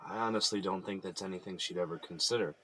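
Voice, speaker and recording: A man's voice: sits low, fast, a bit bright, slight background noise. A man playing an earnest, weary defendant held in jail in an audio drama, speaking reflectively. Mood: wistful.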